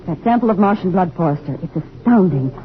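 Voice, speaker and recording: A woman's voice: fairly low pitch, expressive, fairly quick, close to an everyday voice. An actress playing an eager, anxious young female scientist in a vintage science fiction radio drama, speaking with earnest urgency. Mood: excited. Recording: tinny old radio recording, faint noise.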